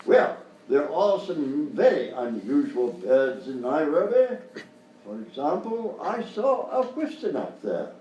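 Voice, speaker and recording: A man playing a blustering, befuddled upper-class English gentleman explorer in a live comedy sketch, pompous and easily flustered. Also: fairly high pitch, sing-song, slow pace, lo-fi sound. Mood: proudly boastful. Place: live stage show at a hotel. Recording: roomy live hotel recording, distant mic, faint noise.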